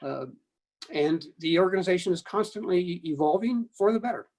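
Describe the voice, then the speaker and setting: An older American man giving reflective panel remarks in short, measured, halting phrases. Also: high voice, animated, a little mellow. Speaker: panelist, former broadcasting board member.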